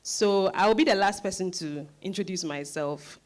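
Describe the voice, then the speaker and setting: A woman's voice: mid-range voice, normal speed. A woman with a Ghanaian accent, a stage director speaking informally into a handheld microphone to thank an audience. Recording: live event with crowd and faint noise.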